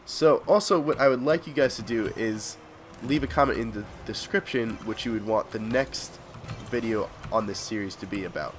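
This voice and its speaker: A man's voice: mid-range voice, moderate pace, slight background noise. A young adult man hosting a gaming video with casual gameplay commentary, sounding congested and sick with a cold.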